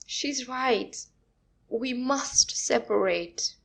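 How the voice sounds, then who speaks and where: An actress playing a scheming, scornful young wife in a classic comedy audio play, with a haughty theatrical manner. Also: female voice, on the high side, drawn-out words, creaky, full character voice. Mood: hushed, worried.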